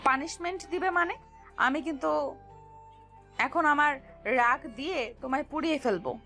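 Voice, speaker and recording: A woman's voice: sits high, normal speed, pushed and bright, faint music behind. A woman playing a flustered, pouty young fiancée who scolds and protests coyly in a romantic audio story. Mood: annoyed, protesting.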